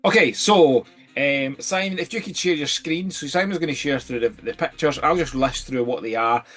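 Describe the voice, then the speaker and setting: A man's voice: on the high side, moderate pace, fairly bright tone, soft background music. A Scottish man co-hosting a casual chat from home, jokey and rambling. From Scotland. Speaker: co-host.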